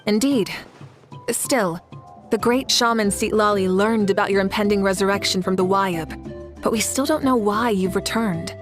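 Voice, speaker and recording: A woman playing a courteous, composed young leader in a video game, speaking politely in a measured, formal way. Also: mid-range voice, soft background music, natural voice. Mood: composed, inquiring.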